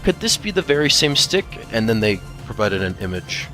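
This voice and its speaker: Man co-hosting a fan Q&A: bright, forceful tone, animated and teasing, soft music underneath. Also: on the low side, brisk. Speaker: question reader and co-host. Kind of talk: playful question reading in a fan Q&A. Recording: faint music behind the voice.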